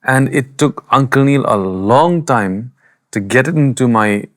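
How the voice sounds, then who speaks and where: A male choir singer talks in a podcast studio about his craft, bold and candid, sometimes poking fun at himself. His voice is a middle pitch, and he speaks in plain conversational sentences. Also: animated, unhurried. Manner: bold, candid, self-deprecating. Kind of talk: conversational explanation.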